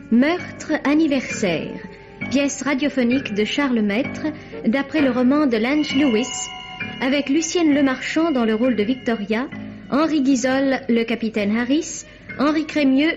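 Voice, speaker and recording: A woman announcer reading formal scripted credits for a radio drama. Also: high voice. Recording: dull vintage radio broadcast, music behind. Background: loud theme music.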